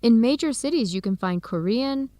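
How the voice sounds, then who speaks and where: A woman's voice: fairly high pitch, lively intonation, normal speed, warm tone, no echo, natural voice. A woman with an American accent playing a friendly young researcher in an English-learning radio drama. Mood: friendly, keen. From United States. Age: young adult.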